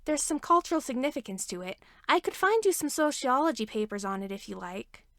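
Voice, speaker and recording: A woman's voice: high voice, a little fast, no room sound, clean studio sound. A woman voicing a chatty, caring, nerdy woman inventor in a fanfiction audiobook, talking informally. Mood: subdued, hesitant. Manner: animated, informal, enthusiastic talk.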